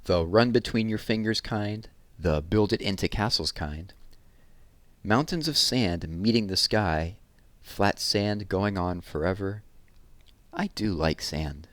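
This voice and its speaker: A man's voice: on the low side, slow, fairly bright tone, dry recording. A man hosting a read-aloud, reading children's poems expressively and explaining things simply to young listeners.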